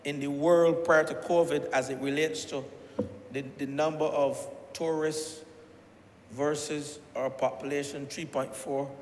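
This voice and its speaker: A man with a strong Barbadian accent giving a speech in parliament, emphatic, surging loud on key phrases and restarting words as he goes. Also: on the high side, flat delivery, measured pace. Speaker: member of parliament. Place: parliament chamber. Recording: echoey chamber with long reverb, faint hiss.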